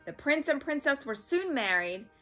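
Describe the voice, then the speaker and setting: A woman storyteller reading a children's story aloud in a dramatic, expressive way. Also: sits high, normal speed, faint music behind.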